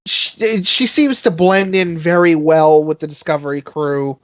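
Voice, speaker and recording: A man's voice: on the high side, fairly even intonation, measured pace, no echo. A man in his twenties chatting casually and expressively over slightly lo-fi online call audio. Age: 20s. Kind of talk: casual chat.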